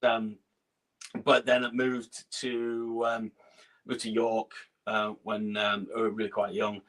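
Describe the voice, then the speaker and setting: Man, northern English accent, middle-aged, lowish voice, hesitant, filler-heavy, video call. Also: completely flat delivery, slow pace, a crowd in the background, poor audio. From Scotland. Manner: hesitant, lots of fillers and restarts, soft laughs. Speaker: guest musician. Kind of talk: casual interview chat.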